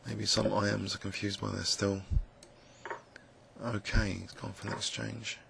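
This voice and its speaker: A man with a croaky, gravelly voice, musing hesitantly with many fillers as he commentates his own blitz chess game. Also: lowish voice, level tone, measured pace, noisy background. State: croaky voice, clearing throat. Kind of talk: live game commentary, thinking aloud.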